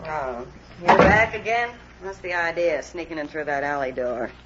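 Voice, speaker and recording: A woman's voice: sits low, moderate pace, lightly acted. An actress playing a tough, defiant woman who runs a shady business in an old-time radio crime drama, curt and commanding. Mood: wary, indignant. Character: hard-boiled, defiant woman boss of amusement palace. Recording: noisy, muffled old radio recording.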